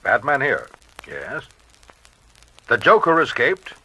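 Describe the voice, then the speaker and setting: A man's voice: medium pitch, wildly swooping pitch, slow pace, bright, forceful tone, slightly rough, full character voice, poor audio. A man acting a stern, businesslike masked crime fighter in a vintage superhero record drama. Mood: businesslike, urgent.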